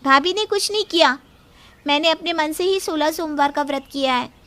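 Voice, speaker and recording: A woman's voice: high voice, moderate pace, bright, forceful tone, pitched above the performer's own voice. A woman voicing a sweet, eager young sister-in-law in a Hindi moral story, girlish and pleading. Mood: earnest.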